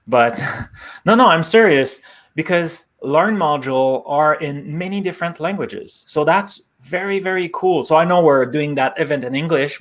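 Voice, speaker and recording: French-accented man explaining casually. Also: fairly high pitch. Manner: friendly and explanatory, with small grammar slips. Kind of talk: casual tech chat. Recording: online stream.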